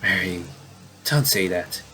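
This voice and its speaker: Low-pitched, slow-talking man commentating a game. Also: lively intonation, pushed and bright, slight background noise. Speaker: gamer doing playthrough commentary. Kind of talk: casual game commentary, reading on-screen dialogue aloud.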